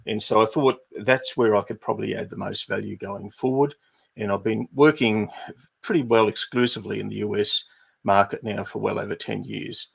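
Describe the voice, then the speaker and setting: Gravelly Australian man hosting a livestream. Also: fairly low pitch, fairly even intonation. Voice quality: gravelly, husky. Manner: unhurried, many uh and um fillers. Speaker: livestream host, business advisor. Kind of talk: livestream housekeeping talk.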